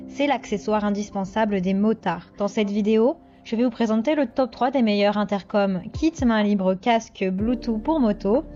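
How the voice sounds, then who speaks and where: A woman's voice: medium pitch, moderate pace, hardly any pauses, warm tone. A woman presenting a scripted product review as a voice-over, reading with occasional hesitations. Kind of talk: scripted top-list product review narration. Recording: dull lo-fi voice-over with music. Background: music.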